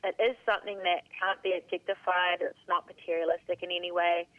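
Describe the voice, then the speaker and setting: A woman's voice: fairly low pitch, level tone, brisk, dry recording. A woman with a New Zealand accent, a podcast guest talking in an earnest, reflective way over a phone call. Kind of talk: casual interview. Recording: phone line.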